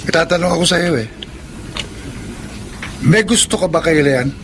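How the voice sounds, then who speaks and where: An actor playing a haughty, jealous young son, speaking emphatically in a Tagalog radio drama. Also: male voice, on the high side, lively intonation, moderate pace, background noise, natural voice. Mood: menacing. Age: young adult. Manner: confrontational, emphatic.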